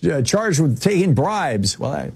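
An older man hosting a political call-in radio show, speaking in a measured way that drops low for emphasis, with mocking lifts in his asides. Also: big pitch swings, creaky, darkish tone, husky.

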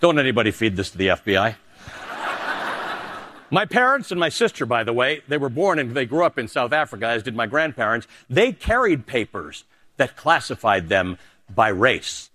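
A middle-aged man from Canada, a news anchor delivering emphatic political commentary and a personal essay, dropping low for personal asides. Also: very animated, moderate pace, sharp tone.

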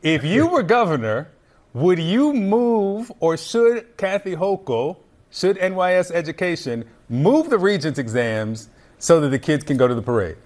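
A man hosting a news program, interviewing a guest and then reading sports headlines in a brisk, conversational way. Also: fairly high pitch, big pitch swings, darkish tone. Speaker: news anchor.

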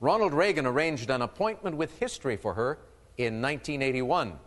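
A man's voice: mid-range voice, lively intonation, brisk, long reverb tail. A man hosting a quiz show, reading clues and teasing contestants with playful asides. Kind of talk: game show hosting with banter.

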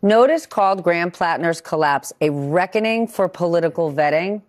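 A woman's voice: lowish voice, animated, normal speed. A woman anchoring a cable news panel, introducing guests and bantering with them.

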